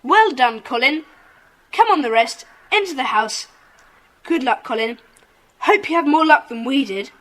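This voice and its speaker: Decisive schoolboy club leader played by a woman, high and bright, lively intonation, confident and encouraging. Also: unhurried, pauses often, rough recording. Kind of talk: children's audio drama acting.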